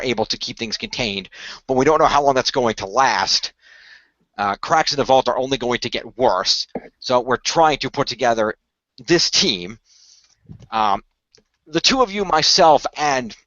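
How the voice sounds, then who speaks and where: A man playing an earnest male leader who recruits heroes in a radio play, explaining things in a halting, deliberate way. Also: mid-range voice, a bit bright, dry recording, lo-fi sound, lightly acted. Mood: grave, explaining. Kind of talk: improvised radio play dialogue.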